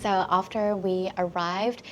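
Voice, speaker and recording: A woman's voice: mid-range voice, fairly even intonation, takes it slowly. Gushing young woman vlogger, music behind. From Indonesia. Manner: gushing, informal. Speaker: travel vlogger. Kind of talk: casual vlog chat to camera.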